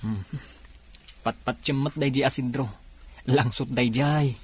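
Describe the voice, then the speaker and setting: A wounded policeman, a mild and dutiful son in a radio drama. His voice sits at a middle pitch with a husky grain, and he speaks earnestly and plainly. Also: fairly even intonation, measured pace. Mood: reassuring. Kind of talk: radio drama acting in Ilocano.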